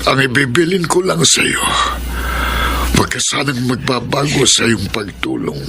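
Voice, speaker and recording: An actor playing a dying old doctor and gentle mentor in a radio drama, speaking tenderly and solemnly. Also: male voice, medium pitch, very animated, a little slow, exaggerated voice. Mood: solemn urging.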